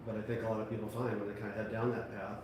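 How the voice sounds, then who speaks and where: Male interview host, lowish voice, rambling and hesitant, far from the mic, very echoey room with noise. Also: flat delivery, rapid-fire. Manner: rambling, hesitant, many fillers. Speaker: podcast host. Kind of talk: casual interview.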